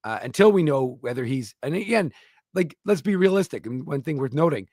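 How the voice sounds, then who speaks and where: A man's voice: on the high side, lively intonation, brisk, a little mellow, no echo. A man co-hosting a hockey show talks through his opinion in loose, casual speech. He often restarts and repeats words as he builds his point, and he sounds close and dry with no room around him. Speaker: co-host of a hockey talk show. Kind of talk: casual sports talk.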